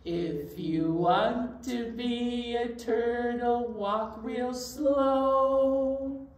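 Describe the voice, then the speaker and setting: A middle-aged woman presenting storytime to young children, animated and encouraging as she talks and leads action songs. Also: a little high, very slow. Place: indoor library storytime set. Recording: roomy, distant mic, faint noise.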